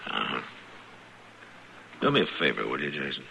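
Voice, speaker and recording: Frontier lawman: low creaky voice, steady and dry-humored, noisy vintage radio drama. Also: exaggerated voice. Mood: concerned. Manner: laconic, unhurried, dry. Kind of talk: old-time radio western acting. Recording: crackly, noisy old radio recording.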